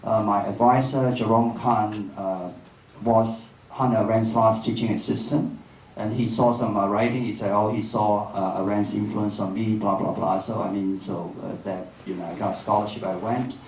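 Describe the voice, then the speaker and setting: Low-voiced man, reflective, distant and echoey. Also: steady tone, darkish tone. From Hong Kong. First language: Cantonese. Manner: reflective, halting, searching for words. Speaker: filmmaker guest in a public dialogue. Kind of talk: reflective public conversation. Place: event room. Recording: echoey room, distant mic, faint noise.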